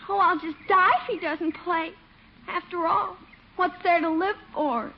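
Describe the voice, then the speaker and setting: A woman's voice: very high voice, steady tone, unhurried. A woman playing a melodramatic, lovestruck little schoolgirl in an old radio comedy. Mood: despairing. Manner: gushing, dramatic. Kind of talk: radio sitcom acting. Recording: muffled, faintly noisy old radio recording.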